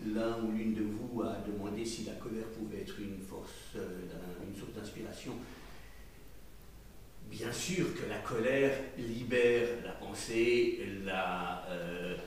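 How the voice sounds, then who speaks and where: Very echoey, low-voiced man, far off. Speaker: audience member and journalist reading questions. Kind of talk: public literary debate remarks. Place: small dim event venue with audience. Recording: distant, very echoey room, faint noise.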